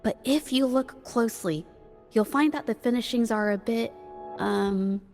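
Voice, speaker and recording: A gentle young female painter from a video game, her voice high and soft. She speaks haltingly and earnestly, with a quiet resolve underneath, over clearly audible music. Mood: careful, thoughtful. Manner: hesitant, soft-spoken, halting. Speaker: game character.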